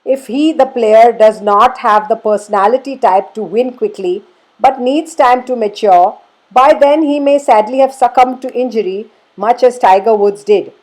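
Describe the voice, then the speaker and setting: A woman giving a scripted explanatory narration as a golf instructor, in a formal, measured manner with rhetorical questions. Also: fairly high pitch, darkish tone, low-quality recording.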